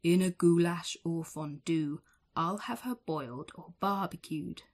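A woman doing a booming, roaring giant. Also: unhurried. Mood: menacing roar. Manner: booming, shouted threats. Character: huge roaring male giant, menacing.

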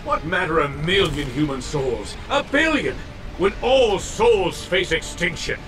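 An actor voicing a fanatical, grandiose villain in a game cutscene. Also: male voice, high voice, expressive, unhurried, music in the background. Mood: zealous. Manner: grandiose, zealous proclamations. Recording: distant voice under loud game score.

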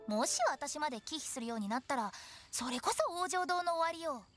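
A woman's voice: sits high, animated, fairly bright tone, faint music behind. A woman voicing an eccentric, playful teenage girl funeral director in an anime game, theatrical and teasing. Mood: calm, explanatory. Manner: theatrical, teasing, switching to measured solemn explanation.

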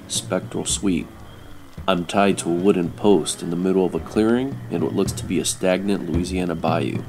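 A man playing a world-weary private detective, narrating in a wry, terse old-time radio noir style. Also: low voice. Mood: tense, ominous.

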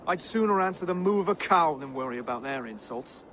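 An actor playing a gentle, earnest young Saxon thane in a video game, with an English accent and a courteous, measured manner. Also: male voice, on the high side, expressive, slight background noise. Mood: mild, composed. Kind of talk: video game cutscene acting.

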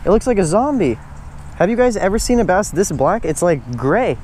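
A man's voice: high voice, animated, moderate pace, darkish tone, a little hiss or hum. A young man giving casual, slangy fishing vlog commentary outdoors into an action camera. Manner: slangy, informal. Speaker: fishing vlogger. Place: outdoors on grassy banks beside water.

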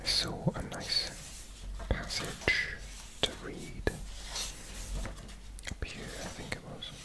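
A man from Wales whispering slowly and gently as he reads from a language textbook, correcting himself as he goes. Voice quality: soft unvoiced whisper. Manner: slow, gentle, self-correcting learner. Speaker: ASMR creator. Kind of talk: whispered ASMR language reading. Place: indoors at a table.

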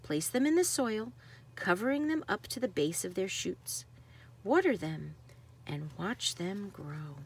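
A woman's voice: mid-range voice, big pitch swings, a little slow, a little hiss or hum. A woman reading a nonfiction picture book aloud to children like a teacher, stressing key words.

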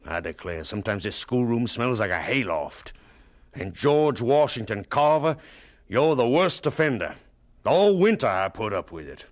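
An actor playing a bitter, sarcastic schoolteacher in a radio drama, stern and sneering in a theatrical way. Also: male voice, medium pitch, very animated, moderate pace, close to an everyday voice. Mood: mocking. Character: bitter, scornful adult male schoolteacher, sarcastic and domineering.

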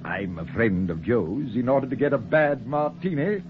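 A man's voice: mid-range voice, moderate pace, lightly acted. An actor playing an urbane, witty middle-aged man-about-town in a suave, theatrical 1940s radio style. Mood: suave, amused. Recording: noisy, tinny 1940s radio broadcast.

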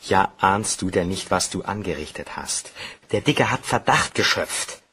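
An actor playing an anxious, defensive young bank clerk with a guilty secret, in a German crime radio play. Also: male voice, sits low, expressive, unhurried, fairly bright tone, slight background noise, full character voice. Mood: angry, alarmed.

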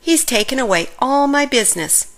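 A woman voicing an excitable male baker with big ideas in a storybook, dramatic and emphatic. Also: high-pitched, moderate pace, pitched above the performer's own voice. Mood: gloomy.

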